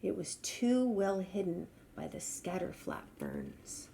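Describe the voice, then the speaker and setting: A woman's voice: fairly low pitch, wildly swooping pitch, slow, vocal fry, warm tone, slight background noise. A woman reading a children's storybook aloud expressively. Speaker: storybook reader.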